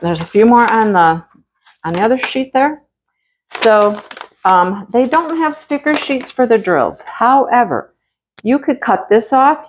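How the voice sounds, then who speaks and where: A woman's voice: a little high, slight background noise. A woman talks straight to her viewers in a homey, chatty way as she unboxes craft kits. Her voice is a little stuffy, and she has a slight cough from a cold. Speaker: crafting channel host. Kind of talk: casual unboxing chat.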